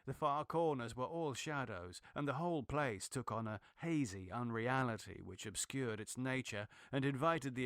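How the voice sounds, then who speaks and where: Casting: male audiobook narrator for gothic horror, mid-pitched, grave and deliberate, very dry recording. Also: fairly bright tone, no echo. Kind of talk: dramatic literary horror narration.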